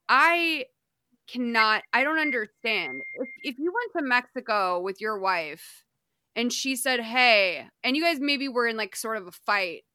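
A woman's voice: fairly high pitch, measured pace, slight background noise. A woman co-hosting a podcast, recapping a documentary in a rambling, casual way full of fillers. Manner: rambling, filler-heavy, animated. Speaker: podcast co-host.

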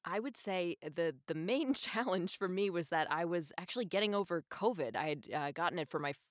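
A woman's voice: lowish voice, animated, fairly quick, no echo. Chatty woman guest, dry sound. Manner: chatty, effusive. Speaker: audiobook narrator, interview guest. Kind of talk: casual interview chat.

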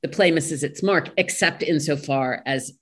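Low-pitched woman, halting and thoughtful. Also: breathy edge. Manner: halting, searching for words. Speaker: interview guest, play translator. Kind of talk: thoughtful interview discussion.

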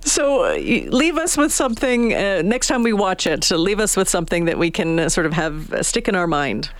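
A woman's voice: lowish voice, very animated, moderate pace, no echo. A woman hosting a morning radio show in a casual, conversational style. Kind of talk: casual interview and chat. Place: radio studio. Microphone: studio microphone.

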